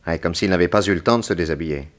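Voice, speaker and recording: An actor playing a calm, methodical detective in a 1960s French radio drama, probing with deliberate courtesy. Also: male voice, low voice, close to an everyday voice. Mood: reasoning. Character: calm, methodical male detective questioning a witness.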